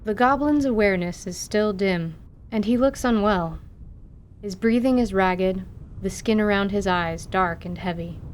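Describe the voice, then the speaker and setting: A woman reading scripted narration for a fantasy audio drama in a measured storyteller's manner. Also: mid-range voice, a little hiss or hum.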